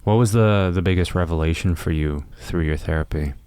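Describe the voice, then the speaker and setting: Deep, smooth-voiced podcast host. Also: male voice, fairly quick, a little mellow, no room sound. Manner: supportive, brief affirmations. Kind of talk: casual interview.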